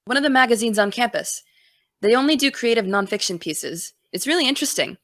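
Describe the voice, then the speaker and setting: A woman's voice: on the high side, animated, a little fast, a bit bright, no echo, studio quality. A woman playing a wry, distracted young student. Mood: busy, chatty. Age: young adult. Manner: casual, wry. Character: driven, distracted college-bound young woman, dryly joking. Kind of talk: naturalistic audio drama dialogue.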